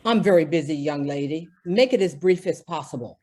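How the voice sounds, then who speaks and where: Woman playing a haughty, low-voiced male floor walker. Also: a little fast, warm tone. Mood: curt impatience. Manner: curt, haughty. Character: brusque, self-important male department store floor walker. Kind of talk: old-time radio drama acting.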